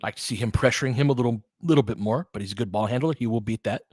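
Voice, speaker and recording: A man's voice: medium pitch, rapid-fire, a little mellow, no room sound, clean studio sound. A man doing basketball play-by-play commentary in clipped phrases, with informal asides and casual analysis.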